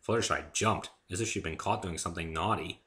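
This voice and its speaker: Low, bright-voiced man narrating a story. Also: a little fast. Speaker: author reading own story. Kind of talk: dramatic reading, first-person story narration.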